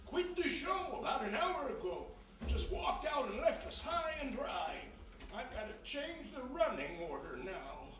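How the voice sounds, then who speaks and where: A man's voice: very high voice, sing-song, a little slow, a bit bright, exaggerated voice. A man playing a folksy, grumbling old theater manager who rambles colloquially in a period radio play. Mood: exasperated. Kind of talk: period radio comedy-mystery acting. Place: live performance in a historic house. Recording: very echoey live stage, distant, faint noise.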